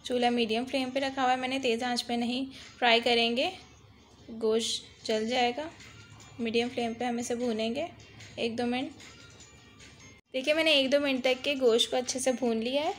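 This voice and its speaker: Cooking host, woman, high pitch, patient instructive voice-over. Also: fairly even intonation, moderate pace, fairly bright tone, faint music behind. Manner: instructive, repeats "dekhiye" to guide viewers.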